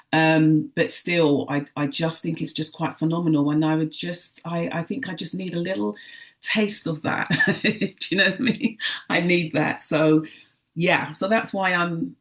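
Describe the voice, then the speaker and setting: A woman's voice: deep voice, steady tone, unhurried. A woman joining a webinar over a video call and speaking in an effusive, rambling way to a colleague.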